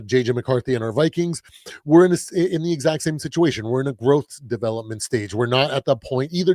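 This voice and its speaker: Man, raspy voice getting over a cold, sports show host, rambling with fillers, mid pitch, dry close sound. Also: a little mellow, no room sound. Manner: rambling with frequent restarts and fillers. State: recovering from a cold. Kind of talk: casual sports talk livestream.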